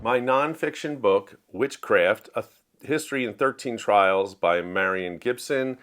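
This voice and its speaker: A man's voice: lowish voice, a little slow, fairly bright tone. A man hosting a casual book review, speaking to camera in a plainspoken, opinionated, conversational way. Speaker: host of a book review show.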